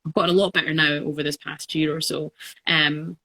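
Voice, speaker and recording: A woman in her twenties talking in a relaxed podcast conversation, thoughtful and reflective, with many pauses and fillers. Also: sits low. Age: 20s. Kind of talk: casual conversation.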